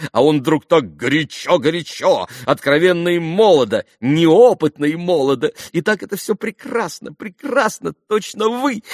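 A man voicing a gushing, excitable society lady, a fussing mother who talks in effusive, melodramatic repetitions, in an audiobook novel. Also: on the high side, no room sound, clean studio sound, voice pushed up. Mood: rapturous. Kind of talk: audiobook classic novel dialogue.

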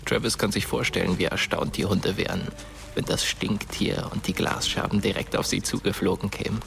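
An actor playing a sombre, watchful storyteller, narrating a dark radio play in a measured, hushed way. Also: male voice, deep voice, steady tone, vocal fry, full character voice. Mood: ominous.

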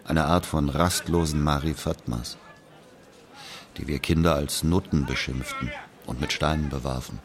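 A man playing a brooding figure who recalls his murdered brother in a measured, solemn literary monologue. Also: very deep voice. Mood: reflective.

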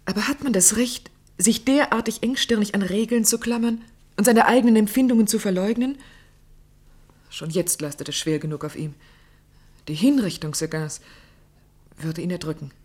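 A woman's voice: mid-range voice, moderate pace, long pauses, darkish tone, natural voice. An actress playing an earnest, worried young woman of principle in a German radio play, restrained and serious with careful diction. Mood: anxious, earnest.

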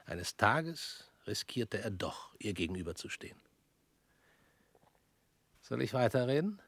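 An actor in a radio play voicing an intense former interrogator, moving between hushed whispers and raised declamation. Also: male voice, lowish voice, big pitch swings, a little slow, breathy edge, no echo, heavily acted. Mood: brooding. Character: former interrogator turned self-declared criminal, man, intense. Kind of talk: radio drama acting.

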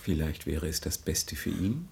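An actor playing a solemn, earnest idealist in a radio play, grave, deliberate and quietly insistent. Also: male voice, a bass voice, very animated, creaky, slight background noise, full character voice. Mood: grave, coaxing.

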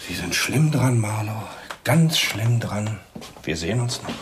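An actor playing a hard, bullying police inspector in a radio crime drama, husky and sarcastic. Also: male voice, lowish voice, expressive, unhurried, noisy background. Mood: menacing. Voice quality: husky, rough.

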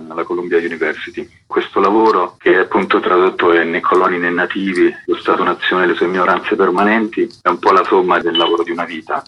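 A man interviewed on radio as a book translator, explaining in a measured, academic way. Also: deep voice. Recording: dull, band-limited radio line.

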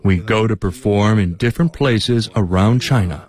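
A man with a fairly low voice reads a translated voice-over. His delivery is plain and measured. It sounds like a studio dub over an interview. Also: a little mellow. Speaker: drum troupe member, dubbed English voice-over.

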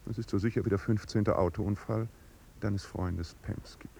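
An actor playing an ironic, haughty duke with polished, dry courtesy in a 1950s German radio play. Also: male voice, fairly low pitch, steady tone, normal speed, vocal fry, warm tone. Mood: cool irony. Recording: 1950s radio recording, faint noise.

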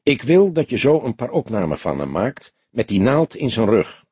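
A man's voice: mid-range voice, very animated, normal speed, dark tone, husky, no room sound. A man voicing a patient, mocking, authoritative grey-haired old police detective in an audiobook. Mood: firm, teasing. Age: older.

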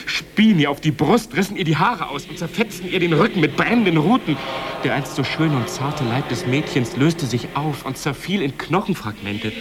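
An actor playing an earnest, impressionable young novice monk in a German radio drama, speaking with intense, incantatory delivery. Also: male voice, on the high side, moderate pace, a crowd in the background, natural voice. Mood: feverish visionary. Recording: clearly noisy ambience behind voice.

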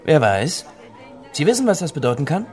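An actor playing a wry, enigmatic archaeologist in conversational radio drama dialogue, playful and cryptic. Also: male voice, on the high side, very animated, normal speed, a little mellow. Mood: teasing, cryptic. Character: teasing, enigmatic male archaeologist drinking in a trattoria.

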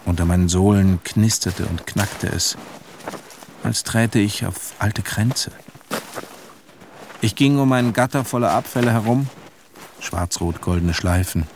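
A somber, reflective son telling his story in a literary radio drama. His voice sits fairly low and he speaks very deliberately, lingering on phrases. A little background noise sits under the narration. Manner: measured, pensive, deliberate.

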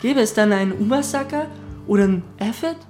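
A woman's voice: medium pitch, animated, brisk, darkish tone, natural voice. A woman voicing a cheeky, self-assured schoolboy who teases and talks boldly. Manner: cheeky, teasing, brash. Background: music.

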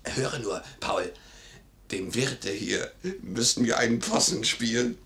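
An actor playing a loyal, gruff, vengeful manservant in a 1950s German radio play, speaking bluntly and theatrically. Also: male voice, mid-range voice, takes it slowly, fairly bright tone. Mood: blunt, mischievous. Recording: roomy 1950s radio studio recording.

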